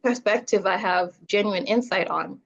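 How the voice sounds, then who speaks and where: A young adult woman from Zambia speaks as a podcast guest, with a medium-pitched voice. She talks earnestly and picks up energy when she recounts what others said to her. The recording sounds slightly dull and lo-fi. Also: moderate pace, creaky. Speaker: filmmaker guest. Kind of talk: podcast interview.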